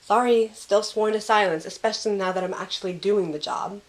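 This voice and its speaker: A woman's voice: medium pitch, a little fast, lightly acted. A young woman playing a guarded, withdrawn student in an amateur audio drama. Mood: softer, relieved.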